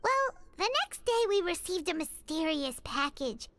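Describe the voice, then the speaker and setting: A woman voicing a small, cheeky, childlike fairy companion in a video game, squeaky and exclamatory. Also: big pitch swings, unhurried, a bit bright, exaggerated voice. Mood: matter-of-fact. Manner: refers to self by name, exclamatory. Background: game music.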